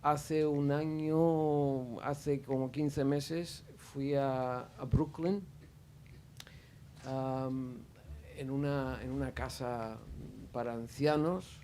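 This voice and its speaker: A man's voice: medium pitch, steady tone, extremely slow, darkish tone, slight background noise. A man speaking off the cuff at a panel discussion, hesitant, with many fillers and restarts. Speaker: audience member at a panel. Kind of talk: spontaneous spoken remarks at a panel discussion.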